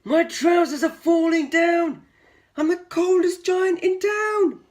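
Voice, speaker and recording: A man's voice: extremely high pitch, fairly even intonation, slow, darkish tone, voice pushed up. A man voicing a kind-hearted storybook giant in an exclamatory, sing-song manner. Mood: distressed.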